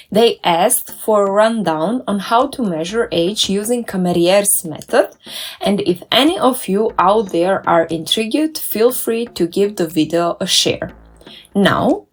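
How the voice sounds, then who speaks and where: Upbeat woman explaining a tutorial. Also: medium pitch. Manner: upbeat, careful step-by-step explaining. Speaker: dentist and doctoral researcher. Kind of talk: scripted tutorial narration.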